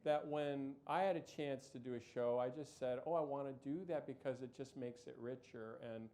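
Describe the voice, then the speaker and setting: Stammering, animated man on a panel. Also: mid-range voice, normal speed. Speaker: show creator, panel guest. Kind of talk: panel discussion.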